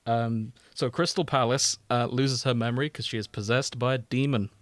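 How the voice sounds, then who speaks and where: A man's voice: medium pitch, steady tone, normal speed, no echo. A man co-hosting a podcast, chatting casually about a TV series in an informal, sweary, wry way. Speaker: podcast co-host.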